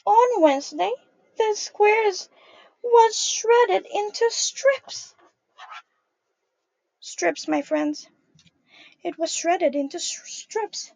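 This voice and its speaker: A young woman reading a picture book aloud to young children in a sing-song, animated way, calling them her friends. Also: very high-pitched, drawn-out words, gravelly, long pauses, no echo, poor audio. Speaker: early childhood storyteller.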